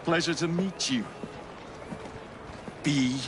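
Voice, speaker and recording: A man's voice: fairly high pitch, slow, very noisy. A man playing a cocky, wry young Victorian English street fighter in a video game. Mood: wry, menacing.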